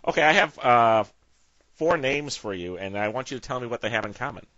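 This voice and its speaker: A man's voice: medium pitch, lively intonation, fairly quick, pushed and bright, no echo, dull audio. A man hosting an interview, asking questions in a casual, conversational way. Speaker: podcast interviewer.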